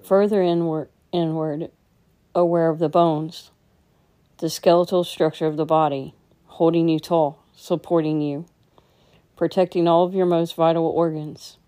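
A woman guides a meditation in a low, dark and relaxed voice. Her delivery stays very level and unhurried, with gentle instructions given step by step. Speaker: meditation teacher.

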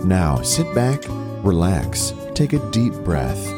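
A man reading a scripted podcast intro in a smooth, measured announcer manner. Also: low-pitched, very animated. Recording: voice over guitar music.

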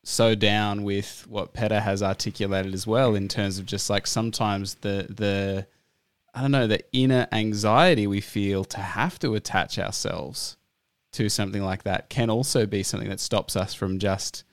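An Australian man hosting a podcast, talking in a relaxed, thoughtful way with plenty of fillers. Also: lowish voice, moderate pace, no echo, studio quality. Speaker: podcast host. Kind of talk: casual interview chat.

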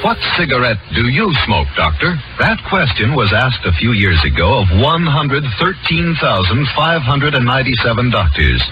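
A man's voice: mid-range voice, big pitch swings, moderate pace, few pauses. A man reading scripted copy as an announcer in an old-time radio commercial. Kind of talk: vintage radio advertising narration, scripted. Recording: muffled old-time radio ad, loud music. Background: loud music.

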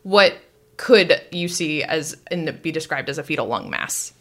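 A woman's voice: low-pitched, expressive, a little slow, fairly bright tone. A woman co-hosting a conversational podcast, guiding the discussion and putting questions to her partner. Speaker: co-host asking questions.

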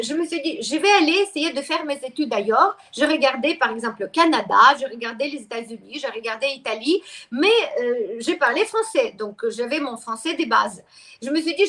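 A woman's voice: sits high, a bit bright. A middle-aged woman, talking at length as an interview guest, with many restarts and fillers. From Ukraine. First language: Russian. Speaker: guest expert. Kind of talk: interview conversation.

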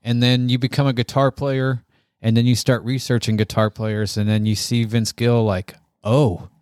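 A man's voice: medium pitch, flat delivery, normal speed, darkish tone, clear, resonant tone, dry recording, clean studio sound. An American man co-hosting a podcast, talking casually about music like a slangy, enthusiastic fan. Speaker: podcast co-host.

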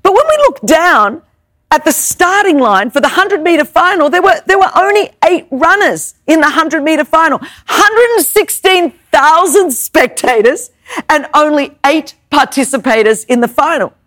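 A woman's voice: sits high, lively intonation, moderate pace, a bit bright, no room sound. A woman whose first language is Greek, preaching an emphatic sermon with rhetorical questions and repeated words. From Greek Orthodox family background.

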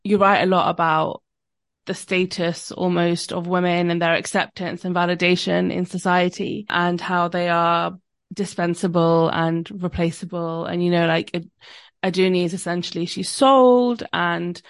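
A woman's voice: on the low side, dry recording, clean studio sound. A woman hosting a book podcast, asking an author questions with enthusiasm and some fillers. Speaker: podcast host. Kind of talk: interview questions.

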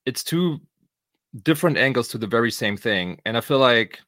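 A man's voice: mid-range voice, animated, normal speed, sharp tone, no echo, studio quality. A middle-aged man from Germany, a native German speaker with a German accent, co-hosting a podcast in casual, loose, colloquial chat. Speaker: podcast co-host.

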